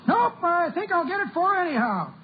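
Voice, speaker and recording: An actor playing a folksy old joking codger with a rustic, ungrammatical drawl in an old radio comedy, on a noisy muffled recording. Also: male voice, extremely high pitch, sing-song, a little fast. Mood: jovial, folksy. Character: folksy old codger full of tall jokes. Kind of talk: 1940s-50s radio comedy acting.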